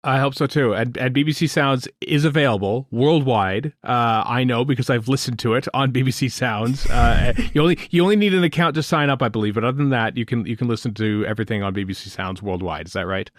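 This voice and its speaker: A man's voice: medium pitch, brisk, hardly any pauses, no room sound, clean studio sound. A man hosting a casual podcast interview, asking questions in an informal, hesitant way.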